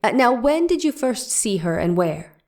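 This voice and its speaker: A woman's voice: on the high side, sing-song, normal speed, darkish tone. A woman reading the part of an 1890s attorney cross-examining a witness with pressing, leading questions. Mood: probing. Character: probing 1890s defense attorney. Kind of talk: dramatic reading of a trial transcript.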